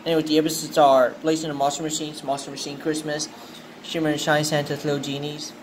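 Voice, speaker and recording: A man with a fairly high voice talks through an unboxing, excited and thinking aloud as he goes. He films on a handheld phone in a tiled indoor room. Steady room noise sits clearly under his voice. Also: fast. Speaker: unboxing video host. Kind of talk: casual unboxing narration. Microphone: handheld phone camera. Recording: noisy room ambience.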